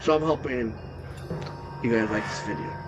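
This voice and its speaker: A man's voice: medium pitch, normal speed, over loud music. A man hosting a casual gaming commentary at home, rambling and correcting himself, his nose stuffed up. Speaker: gaming channel host. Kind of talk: casual let's-play commentary. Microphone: desktop recording setup.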